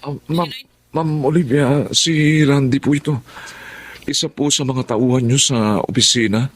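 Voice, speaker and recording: An actor with a Filipino accent playing a suspicious, meddling office employee, conspiratorial and deferential, in radio drama style. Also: male voice, medium pitch, fairly even intonation, normal speed, close to an everyday voice. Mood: informing.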